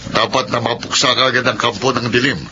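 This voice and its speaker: A man's voice: mid-range voice, flat delivery, fairly quick, close to an everyday voice. A man playing a stern, determined village captain in a Filipino horror radio drama, authoritative and formal. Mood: stern, urgent. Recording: dull lo-fi radio with noise.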